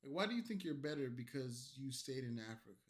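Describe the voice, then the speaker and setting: A man's voice: mid-range voice, lively intonation, fast talker. Tipsy man ranting on a stream. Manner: loud, profane, rambling rant. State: tipsy from alcohol and energy drink. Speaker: streamer reacting to clips. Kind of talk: drunk late-night ranting stream.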